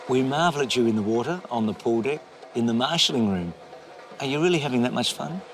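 A man hosting a broadcast interview, asking measured, prompting questions. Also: medium pitch, lively intonation, a little hiss or hum. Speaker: interviewer, host.

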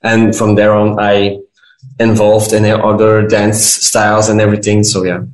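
A man's voice: on the low side, monotone, unhurried. A man in his late twenties from western Belgium with a Belgian accent, talking as an interview guest. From west Belgium. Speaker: podcast guest, dancer and beautician. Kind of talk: interview answers. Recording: echoey room.

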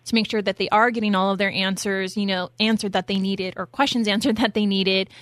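An upbeat woman co-hosting a casual interview, checking in with listeners with a quick "right?". Her voice sits at an average pitch and sounds dry and close. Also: steady tone, fairly bright tone, no room sound. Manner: upbeat, often tags "right?". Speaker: co-host.

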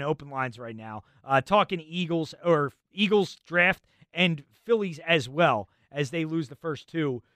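A man's voice: sits high, clean tone, dry recording, clean studio sound. A young adult man hosting sports talk radio, conversational and filler-heavy. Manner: animated, conversational, many fillers.

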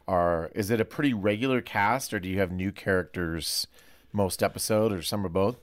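A man's voice: deep voice, normal speed, no room sound. A man hosting a casual panel discussion, putting questions to his guests in a conversational way. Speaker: host asking guests questions.

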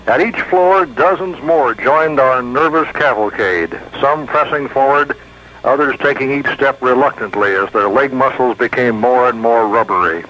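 Bright, forceful American male radio reporter. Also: medium pitch, moderate pace, highs cut off. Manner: wry, vividly descriptive storytelling. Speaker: veteran radio news reporter. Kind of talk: scripted first-person news narration.

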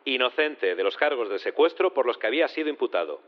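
Audio drama judge played by a man: formal, measured, mid-pitched, slightly dull recording. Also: animated, close to an everyday voice. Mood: formal, official. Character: formal male judge presiding over a courtroom.

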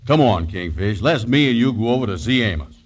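An actor playing a gullible, grumbling sidekick with drawling, ungrammatical folksy speech in a 1940s radio comedy. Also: male voice, lowish voice, expressive, a little fast, over loud music, natural voice. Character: slow-witted, gullible, grumbling male sidekick. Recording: vintage 1940s radio broadcast.

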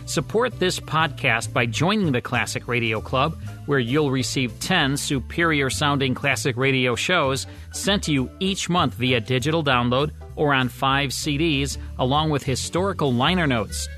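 Polished male podcast host, medium pitch. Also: normal speed, bright, forceful tone, soft background music. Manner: polished, presenterly. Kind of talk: scripted narration and promo.

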